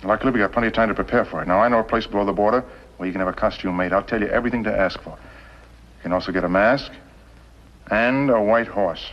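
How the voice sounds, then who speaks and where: An American actor playing a cool, calculating villain in a 1950s TV western, speaking deliberately and prodding with leading questions. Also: male voice, lowish voice, close to an everyday voice. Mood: cool scheming. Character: cool, calculating male villain plotting murder, smugly patient. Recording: dull old 1950s television soundtrack.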